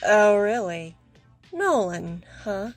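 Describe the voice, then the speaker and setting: A woman's voice: mid-range voice, very animated, takes it slowly, music in the background. A woman playing a confident, sassy, teasing young woman in a cartoon comic dub. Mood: suspicious.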